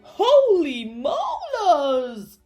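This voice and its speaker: A woman's voice: very high-pitched, huge pitch swings, drawn-out words, mellow, soft background music, pitched above the performer's own voice, full character voice. A woman voicing a cowardly, blustering male sea-creature dentist in a storybook, with a theatrical, stammering, shrieking delivery. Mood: panicked.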